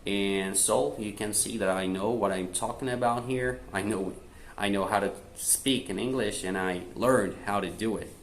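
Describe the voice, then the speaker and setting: A young man from Brazil gives learning tips straight to the camera in a relaxed, chatty way. His voice sits a little low, and he drops in small tag words as he goes. His English carries a Brazilian accent, and a faint hum of room noise sits under the recording. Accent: Brazilian Portuguese. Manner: chatty, fillers like né and tá, switches to slow careful English. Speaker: vlogger teaching language tips. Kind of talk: casual direct-to-camera tips.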